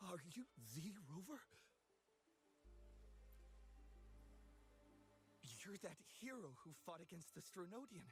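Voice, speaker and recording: A man voicing an earnest young explorer in a video game, exhausted and strained, speaking haltingly as if short of breath. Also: fairly high pitch. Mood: awed, grateful. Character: earnest, desperate young male explorer, weakened by injury.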